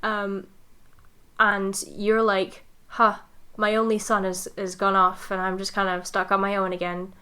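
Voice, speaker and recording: A woman co-hosting a podcast, casually pitching ideas in a playful, measured storytelling way. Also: little pitch movement, pushed and bright, smooth. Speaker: podcast co-host.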